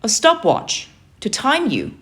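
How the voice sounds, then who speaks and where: A woman's voice: on the high side, huge pitch swings, slow, fairly bright tone, pitched above the performer's own voice, exaggerated voice. Lady reading a tough uncle in a kids book, curt, bossy, always pushing for more. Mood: brisk, challenging. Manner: curt, commanding, challenging. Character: stern, demanding uncle, a tough military man. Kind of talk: children's storybook character reading.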